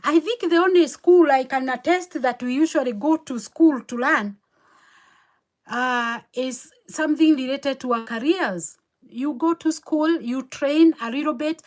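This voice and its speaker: Kenyan woman, motivational livestream host, slow and deliberate delivery, fairly high voice, repeats key lines. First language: Kikuyu. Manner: repeats key phrases, earnest and encouraging. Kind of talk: motivational live stream talk. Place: home in New York City.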